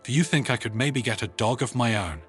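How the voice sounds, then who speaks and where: A man's voice: medium pitch, animated, a little fast, soft background music, lightly acted. A man reading as a small, timid, earnest alien. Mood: hesitant. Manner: earnest, polite.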